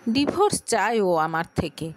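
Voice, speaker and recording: A woman voicing a wounded, quick-tempered young estranged wife in an audio story, aggrieved and emotional. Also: mid-range voice, big pitch swings, dry recording, pitched below the performer's own voice, lightly acted. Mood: hurt, resolute.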